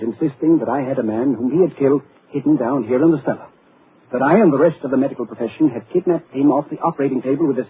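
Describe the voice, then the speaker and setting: An actor playing a grave, measured doctor explaining a dire situation in a 1940s radio drama. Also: male voice, mid-range voice, lightly acted. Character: grave middle-aged male doctor. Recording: tinny old radio broadcast with hiss.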